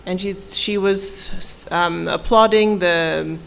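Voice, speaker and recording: A woman giving a live consecutive translation at a panel, summarizing in a measured way. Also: on the low side, steady tone, vocal fry, a bit bright, clean tone. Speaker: interpreter.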